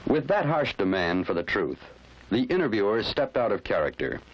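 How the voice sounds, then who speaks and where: Low-voiced American training film narrator, lively inflection. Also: male voice, normal speed, rough recording. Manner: formal, didactic. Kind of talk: scripted instructional narration.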